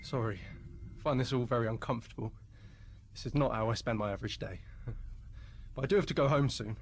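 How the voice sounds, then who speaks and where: A man's voice: medium pitch, fast talker, creaky, breathy edge. A man playing a meek, awkward, polite captive in a radio play, hesitant and apologetic. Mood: uneasy. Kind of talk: radio play monologue acting.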